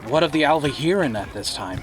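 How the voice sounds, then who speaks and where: An actor playing a curious, attentive listener who puts measured questions in a fantasy audio drama. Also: male voice, a little high, expressive, background noise, natural voice. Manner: inquisitive, measured.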